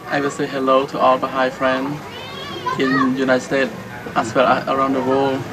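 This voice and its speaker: Male refugee interviewee, Cambodian accent, halting non-native English, earnest, medium pitch, noisy location sound. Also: completely flat delivery. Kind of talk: earnest message to camera.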